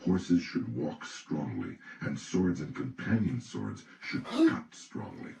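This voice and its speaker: Very deep, gravelly man reading aloud. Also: wildly swooping pitch, slow. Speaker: audiobook reader. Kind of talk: scripted audiobook reading. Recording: roomy, distant mic, faint noise.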